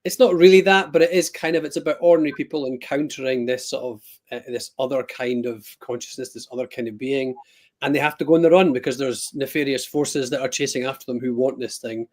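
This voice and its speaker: A man's voice: mid-range voice, steady tone, fast, a little mellow. A man with a Scottish accent, a guest author chatting casually on a video call, rambling and self-deprecating with lots of ums and restarts. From Scotland. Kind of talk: casual live-stream chat.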